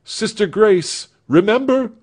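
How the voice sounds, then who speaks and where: A man reads a fervent young man from a storybook, raised and lively in pitch, every phrase earnest and heartfelt. He speaks with feeling and a slow, swelling delivery. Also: heavily acted. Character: earnest young man, devoted lover departing.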